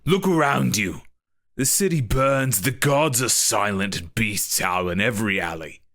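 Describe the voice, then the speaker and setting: Villainous older brother for gothic audio drama, man acting: low, husky, cynical, defiant, close and dry. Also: animated, a little slow, a bit bright, dry recording. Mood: defiant, bitter. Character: bitter, ruthless elder brother, fallen hunter leader.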